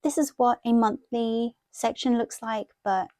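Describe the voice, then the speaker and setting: A woman's voice: fairly high pitch, steady tone, measured pace, dark tone, no echo, studio quality. A woman chatting casually and ramblingly as she talks viewers through something in an informal show-and-tell. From half Thai, half Italian heritage. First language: Thai. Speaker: planner and journaling vlogger.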